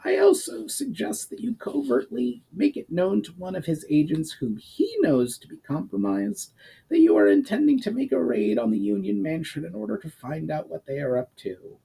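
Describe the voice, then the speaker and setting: High-pitched goblin schemer, acted by a man, shrewd, eager, formal wording, swooping intonation, storybook fantasy. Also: sing-song, moderate pace, creaky, dark tone, husky, heavily acted, poor audio. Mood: eager, scheming. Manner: eager, calculating, formal diction.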